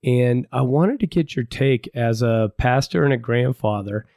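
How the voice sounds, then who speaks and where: A man's voice: medium pitch, measured pace, mellow, no room sound, clean studio sound. An older man hosting a podcast, a little stuffy and plugged up from a head cold, speaking in an upbeat, friendly way. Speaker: podcast host. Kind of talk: casual podcast interview.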